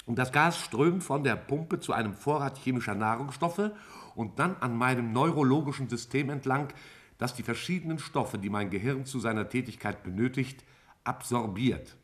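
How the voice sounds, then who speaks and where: A man playing an intelligent interstellar cloud in a 1950s radio play, explaining itself in precise, formal, measured words. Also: mid-range voice, close to an everyday voice. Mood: calm, matter-of-fact. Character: intelligent interstellar gas cloud speaking to scientists, measured and superior.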